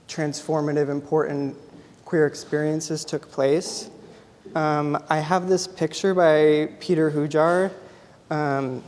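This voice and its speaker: A soft-spoken young man from Idaho gives a relaxed artist talk in a gallery. His voice sits a little high for a man and trails into a long hall reverb. He fills his pauses with "um" as he goes. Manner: soft-spoken, many ums. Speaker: photographer giving an artist talk.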